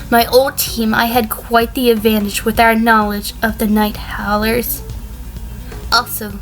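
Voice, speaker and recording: A woman playing a wary sheep defendant in a fan audio drama, giving measured, dry testimony. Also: on the high side, a bit bright, low-quality recording. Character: female sheep defendant, a wary, composed former conspirator.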